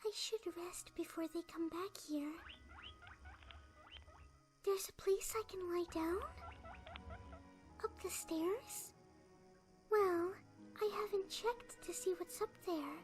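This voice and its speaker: Woman playing a very high, sweet young pony. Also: slow, long pauses, soft background music. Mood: sleepy, wondering. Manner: soft, kindly, polite. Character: sweet, gentle, hopeful young pony princess. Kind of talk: animated fan audio drama acting.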